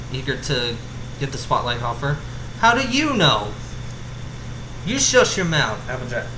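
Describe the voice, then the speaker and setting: A man with an average-pitched voice reads and chats casually. He stumbles and repeats words as he goes. The room echoes and there is steady noise behind him. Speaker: fan fiction reader. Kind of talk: amateur story reading and casual chat. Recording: roomy echo with clear background hum.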